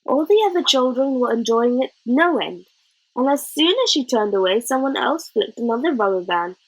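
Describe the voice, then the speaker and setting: Woman narrating a kids book, expressive, voice on the high side and kinda husky. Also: moderate pace, poor audio. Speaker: narrator reading a children's novel.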